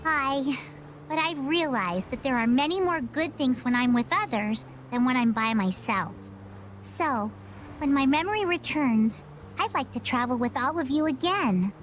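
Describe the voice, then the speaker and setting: A woman's voice: high-pitched, animated, unhurried, fairly bright tone, slight background noise, low-quality recording. A woman voicing a quirky, carefree young pirate girl in a video game, with a folksy, breezy manner. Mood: wistful.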